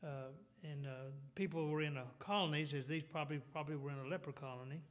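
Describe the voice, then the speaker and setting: A middle-aged man with a Texas accent preaches a sermon in a rambling way, full of restarts and fillers, and clears his throat now and then. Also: fairly high pitch, steady tone, fast. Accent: Texan.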